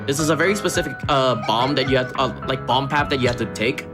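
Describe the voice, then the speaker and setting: A man's voice: medium pitch, fast talker. A man giving chatty, giggly live commentary on a speedrun, with lots of fillers. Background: game music.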